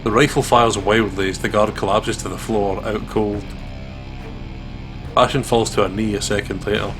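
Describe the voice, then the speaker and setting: A man with a slightly low voice narrates a visual novel as a let's play host. His reading is dramatic, with clear music behind it. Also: steady tone, moderate pace, poor audio.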